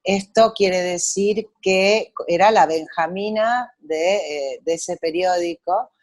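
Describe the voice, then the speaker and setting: An Argentine woman in middle age speaks as a guest in an interview. She tells her life story in a relaxed, reflective way, with frequent pauses and hesitations while she gathers her memories. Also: lowish voice. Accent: Argentine Spanish. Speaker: guest photographer. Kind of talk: conversational interview over a call.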